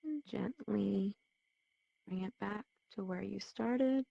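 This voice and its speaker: A woman guides a meditation very gently, with long, unhurried phrasing and an even, barely varying pitch. Her voice is soft-edged and dark, with a strong creak running through it. The recording is dry and slightly muffled. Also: no room sound. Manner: soothing, gentle, unhurried with pauses. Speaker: meditation teacher.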